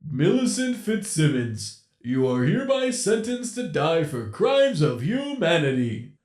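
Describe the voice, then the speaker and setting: A man's voice: a little high, sing-song, darkish tone, reverberant, pitched above the performer's own voice. A man voicing a gloating animatronic captor. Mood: judging. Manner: slow, mocking, theatrical drawl. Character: menacing male animatronic captor, gleeful and cruel. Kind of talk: storybook reading.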